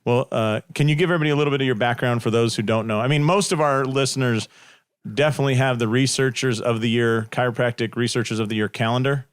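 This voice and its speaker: A man's voice: mid-range voice, steady tone, fast talker, clear, resonant tone, no room sound. Joking male podcast host, dry close sound. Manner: joking, playful teasing. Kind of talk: casual candid interview chat.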